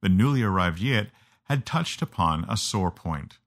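Male narrator for audiobook storytelling, fairly low register, scripted read. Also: animated, measured pace.

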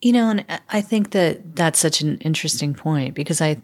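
A woman's voice: deep voice, fairly quick, darkish tone. A woman interviewer who follows up with questions and thoughtful reflections in a conversational tone.

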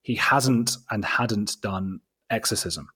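Man, lowish pitch, husky tone, casual storytelling, rambling, self-interrupting, interview guest. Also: slow. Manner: rambling, informal, self-interrupting, animated anecdotes. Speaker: interview guest, documentary maker.

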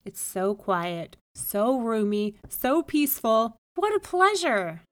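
A woman's voice: a little high, a little slow, darkish tone. A woman voicing a poor, frantic man in a storybook, pleading and exclaiming melodramatically. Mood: joyful relief. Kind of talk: storybook read-aloud character.

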